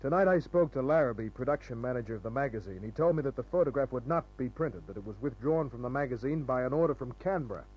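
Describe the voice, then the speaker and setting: An actor playing a wry, cool-headed private detective in an old radio noir, narrating and talking in terse, clipped phrases. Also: male voice, medium pitch, animated, fast talker, hardly any pauses, natural voice. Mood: cool, confident. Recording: muffled old radio recording.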